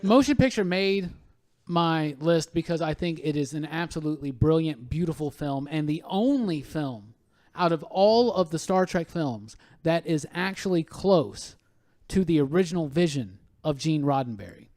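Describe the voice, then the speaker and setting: Enthusiastic higher-pitched man on a podcast. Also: expressive, moderate pace, a little mellow. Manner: enthusiastic, emphatic. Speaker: podcast host. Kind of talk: casual panel chat about films.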